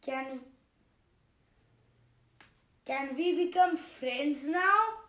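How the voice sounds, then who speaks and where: Lion king for a kids' puppet show, a woman puts him on: slow, high, exaggerated, echoey room. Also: lively intonation, heavily acted. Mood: grateful. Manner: exaggerated storybook delivery with loud pleading cries. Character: proud male lion king, boastful then grateful. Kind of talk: shadow puppet show for kids.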